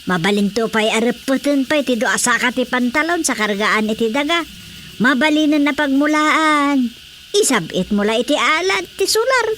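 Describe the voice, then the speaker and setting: A woman's voice: high-pitched, expressive, moderate pace, a bit bright, slight background noise. An actress with an Ilocano accent playing a chatty, teasing village woman who loves gardening in a radio drama comedy. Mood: chatty, encouraging.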